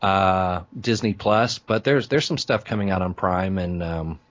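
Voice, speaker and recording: Low-voiced man, dry close sound. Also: a little slow, no echo. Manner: easygoing, agreeable, thinking aloud. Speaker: podcaster being interviewed as a guest. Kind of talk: casual fan chat interview.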